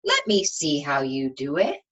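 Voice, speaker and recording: A woman's voice: deep voice, wildly swooping pitch, unhurried, exaggerated voice. A woman reading a storybook and voicing an eager, imaginative young boy who calls out to farm animals in a singsong way. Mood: eager, calling out. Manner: calling out brightly, singsong address.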